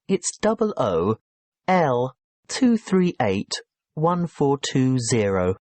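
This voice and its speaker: A man's voice: medium pitch, very animated, slow, darkish tone. Polite business guy at a hotel desk, man acting it out, very up and down intonation, no room echo. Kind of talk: scripted listening-test dialogue.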